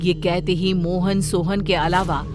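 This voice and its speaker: A woman's voice: fairly low pitch, steady tone, unhurried. A woman narrating a scripted story in a dramatic storytelling style. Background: background music.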